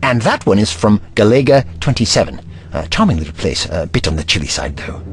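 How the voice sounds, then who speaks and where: A man playing an eccentric, brainy time traveller in an audio drama, kindly but testy, musing aloud in a wordy, gently pedantic manner. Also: lowish voice, very animated, brisk, slight background noise. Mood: kindly, fussy.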